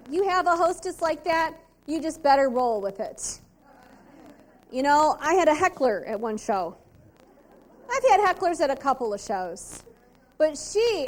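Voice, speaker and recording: A middle-aged woman, giving an upbeat, precise and animated sales training talk at a convention. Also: high-pitched.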